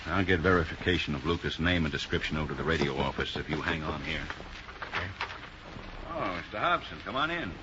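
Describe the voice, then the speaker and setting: A no-nonsense police sergeant in an old radio drama. His voice is deep, steady and terse, on a muffled, noisy recording. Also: fast talker. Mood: businesslike. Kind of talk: 1950s radio drama acting.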